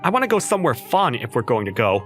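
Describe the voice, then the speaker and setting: A man's voice: medium pitch, sing-song, fast talker, fairly bright tone, faint music behind. A young man playing a nervous, teasing student in love in a manga dub, talking in a conversational, slightly awkward way. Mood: casual, teasing. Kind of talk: manga dub acting with first-person narration.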